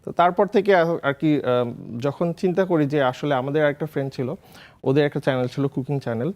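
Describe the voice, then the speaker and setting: Higher-voiced Bangladeshi man, casual storyteller. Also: a little fast, darkish tone. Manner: mixes English words and phrases into speech. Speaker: guest, food content creator.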